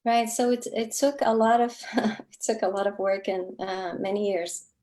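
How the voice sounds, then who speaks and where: A woman whose first language is Kurdish, an author answering interview questions in a reflective, earnest way with frequent pauses and fillers. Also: medium pitch, level tone, dark tone. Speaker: author guest.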